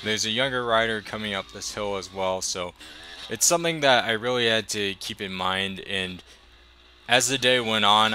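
A young man talking casually over his riding footage as a motovlogger, relaxed and enthusiastic, a little rambling. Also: lowish voice, slight background noise.

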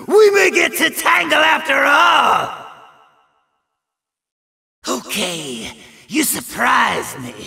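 A manic monster henchman from a video game, yelling in a piercingly sharp, screechy voice. The delivery is slow and drawn out, full of heavy gravel. He comes across as a brash, short-fused bully who loves to taunt. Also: high-pitched, exaggerated voice. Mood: excited, cocky, then grudging respect. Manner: wild, taunting, slangy. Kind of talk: exaggerated video game villain acting.

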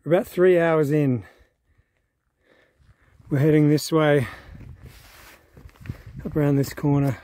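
A man in his forties speaks in a slightly high voice to a phone held at arm's length. He is out on an open mountain trail, walking and pausing to marvel at the view. His talk is casual and easy. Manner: relaxed, awed, talking to camera while walking. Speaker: hiker vlogger. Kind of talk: casual on-the-go vlog narration. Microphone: phone camera held at arm's length.

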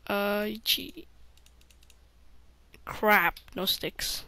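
A woman's voice: mid-range voice, animated, extremely slow, bright, forceful tone, smooth, no room sound. A woman hosting a game playthrough, rambling and often dropping to a mumble. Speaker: let's play host. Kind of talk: gameplay commentary.

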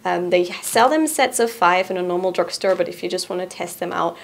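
A young woman hosting a casual product review to camera in a small studio, bubbly and giggly, sometimes easing into a softer, relaxed tone. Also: lowish voice, a little fast, creaky, a little hiss or hum. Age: young adult. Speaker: host presenting shop items. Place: small indoor studio room. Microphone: boom-arm studio mic in front.

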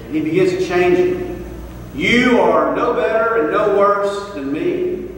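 A man's voice: sits high, unhurried, a bit bright. A middle-aged man preaching a sermon from a pulpit, building through repeated lines, recorded from across a church sanctuary. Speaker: pastor. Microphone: far camera, pulpit microphone. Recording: echoey hall from far off, faint noise.

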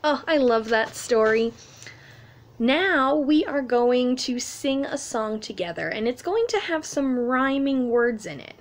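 A woman's voice: high-pitched, lively intonation, measured pace. A woman in her thirties, a children's music teacher, explaining things simply and animatedly for young children in a story time and sing-along. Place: small room at home, indoors.

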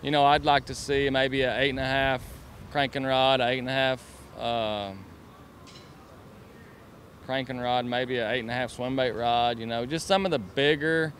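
A man answers interview questions outdoors in a hesitant, thinking-aloud manner. He speaks into a handheld mic. A faint outdoor hum runs underneath. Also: mid-range voice. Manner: hesitant, musing. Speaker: professional angler. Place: outdoors by boats. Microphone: handheld mic held by interviewer.

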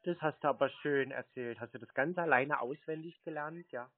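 A man's voice: fairly high pitch, fairly quick, dry recording. A man on an improvised prank phone call, asking short, repetitive questions in a feigned naive, needling manner. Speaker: prankster who baits phone scammers. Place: telephone call. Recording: thin dry phone-like sound.

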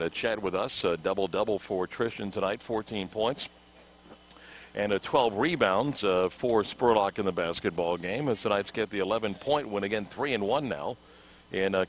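Middle-aged guy on a headset courtside, slightly low voice, reads stats, faint arena hum behind. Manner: measured, reads stats then asks questions. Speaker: postgame sideline interviewer. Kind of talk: postgame broadcast interview. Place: courtside table in an indoor arena. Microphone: headset mic.

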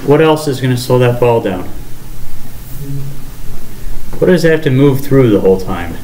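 A young male teacher talks a class through a physics lesson at a whiteboard, asking questions as he goes. His voice sits at an ordinary pitch and rings around a bare classroom, picked up by a camera across the room with a faint hiss underneath. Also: animated, fast talker, warm tone. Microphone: far camera across the room. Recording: echoey classroom, faint noise.

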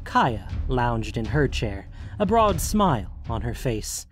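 A man narrates a fantasy story in an audio drama, reading scripted prose at a middling pitch. Soft music plays underneath him. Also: big pitch swings, takes it slowly. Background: background music.

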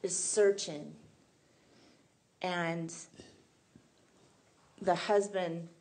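Slow, mellow-voiced woman. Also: fairly low pitch, steady tone. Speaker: audience member. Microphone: handheld mic.

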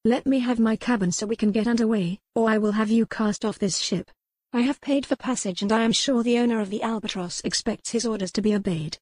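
A woman's voice: a little high, fairly quick, a little mellow, dry recording, voice pushed up, natural voice. A woman voicing an imperious, headstrong young noblewoman in an audiobook, formal and insistent. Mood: commanding. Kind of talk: audiobook character dialogue.

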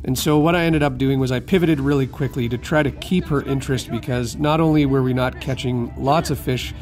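Man, 30s, charter captain; easygoing rambling voice-over, average pitch. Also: fairly even intonation, fairly quick, a little mellow, soft background music. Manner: easygoing, rambling with many fillers and restarts. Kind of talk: voice-over tips narration.

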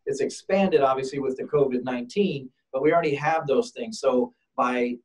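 A man's voice: medium pitch, moderate pace. A man on a video call explaining food safety cleaning and employee illness policies. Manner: practical, reassuring. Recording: distant from the mic.

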